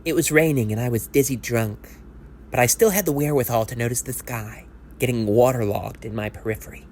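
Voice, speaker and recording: A man's voice: mid-range voice, a little fast, darkish tone, slight background noise. A man narrating an audio play as a conversational, scripted dramatic monologue.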